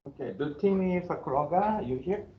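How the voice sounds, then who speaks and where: A male university professor with a Japanese accent, opening the first day of class in a casual, joking way, halting now and then with fillers. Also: fairly high pitch, animated. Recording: echoey, dull classroom recording with faint hiss.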